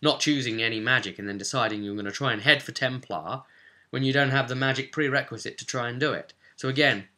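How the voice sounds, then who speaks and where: Male presenter for a tabletop game guide; bright, punchy voice at medium pitch, conversational with emphatic stresses. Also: quick pace. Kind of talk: explanatory game guide commentary.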